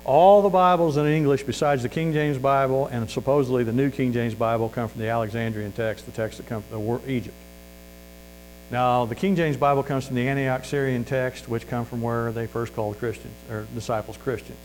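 A man's voice: mid-range voice, fairly even intonation, brisk, darkish tone, poor audio. A man with a Missouri accent preaching a sermon in a folksy, earnest way, full of fillers and restarts. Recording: faint mains hum.

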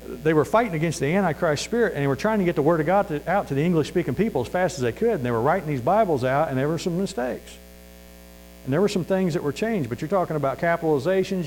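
A man's voice: on the high side, darkish tone, poor audio. A man with a Missouri accent preaching a sermon in a folksy, earnest way, full of fillers and restarts. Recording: faint mains hum.

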